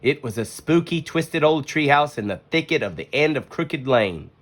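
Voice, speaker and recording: A storybook reader giving expressive scripted narration for children. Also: mid-range voice, normal speed.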